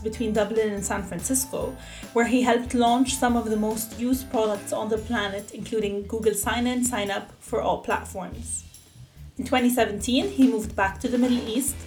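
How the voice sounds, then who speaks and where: A woman's voice: fairly high pitch, darkish tone, echoey room. A woman hosting an interview podcast, reading a polished introduction and then asking questions conversationally. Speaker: podcast host.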